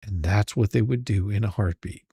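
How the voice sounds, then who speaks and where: A man hosts his channel and comments casually on a clip. His voice has a gravelly fry, and he rambles, often repeating a word before he finds the next one. The sound is close and dry. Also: on the low side, brisk, dark tone, dry recording. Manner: rambling, repeats words while thinking. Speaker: channel host reacting to a stream.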